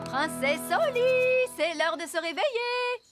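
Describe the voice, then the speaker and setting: A woman's voice: extremely high pitch, expressive, takes it slowly, heavily acted. A woman voicing a theatrical, outspoken grown-up fairy in a French cartoon dub, with exaggerated delivery. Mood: gentle. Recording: background music under the voice.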